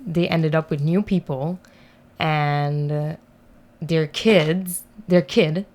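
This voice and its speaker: A woman's voice: low-pitched, steady tone, slow pace, darkish tone. A woman in her twenties from Guyana with a Guyanese accent, chatting easily as an interview guest and laughing often. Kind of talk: casual interview chat.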